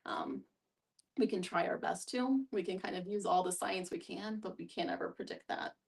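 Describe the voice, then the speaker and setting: A woman facilitating a discussion explains things in a thoughtful, conversational way. Her voice sits a little low and has a gravelly fry. It is picked up from some distance from the microphone. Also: moderate pace. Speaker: guest facilitator, hospice social worker. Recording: distant mic.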